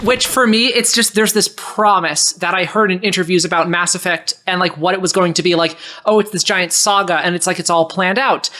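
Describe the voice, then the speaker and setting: A woman co-hosting a podcast in casual, unscripted discussion, telling things in an animated way and acting out quotes. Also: on the low side, brisk, hardly any pauses. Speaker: podcast co-host reading listener questions.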